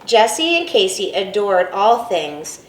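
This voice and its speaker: A school principal reads a picture book aloud, her voice rising and falling with lively expression. She is recorded from a distance in an echoey room, with faint ambience behind. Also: medium pitch, measured pace, a little hiss or hum. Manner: expressive storytelling.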